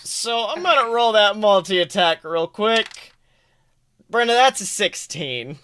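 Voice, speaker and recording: High, bright-voiced male game master. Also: animated, slow, slight background noise. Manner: teasing, jokey. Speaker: game master, podcast host. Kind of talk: casual tabletop game narration.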